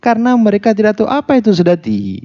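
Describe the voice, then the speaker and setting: A man from Aceh speaking as a guest on a radio discussion, animated, with many fillers and restarts and emphatic rises. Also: high-pitched, fast. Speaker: talk show guest. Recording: dull lo-fi radio broadcast sound.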